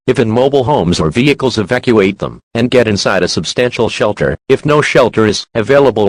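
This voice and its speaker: A man reading weather and emergency warnings in an even, instructional way. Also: on the low side, no room sound, low-quality recording. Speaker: alert reader.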